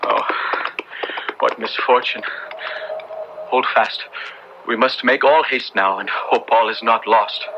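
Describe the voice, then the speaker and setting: An actor playing an anxious, compassionate parson in a radio drama, speaking earnestly and urgently in formal, old-fashioned English. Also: male voice, medium pitch, takes it slowly, long pauses. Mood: anxious, tender. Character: large, anxious, compassionate male parson helping a fugitive. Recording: muffled, very noisy old radio recording.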